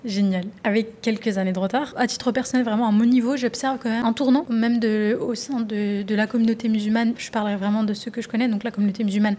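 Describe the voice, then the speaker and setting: Young woman psych podcast host, bit high voice, rambly thinking out loud, faint rumble behind. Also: steady tone, fast, few pauses. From France. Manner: thoughtful, rambling with many restarts and fillers. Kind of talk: solo podcast monologue.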